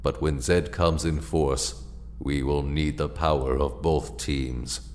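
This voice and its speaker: A man playing a solemn, authoritative alien mentor of heroes in an audio drama, speaking deliberately. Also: fairly even intonation, a little mellow. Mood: grave. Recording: long booming reverb, faint hum.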